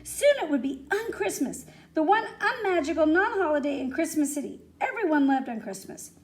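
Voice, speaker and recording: A woman reading a children's story aloud in an expressive, animated way, speaking directly to young listeners. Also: sits high, brisk.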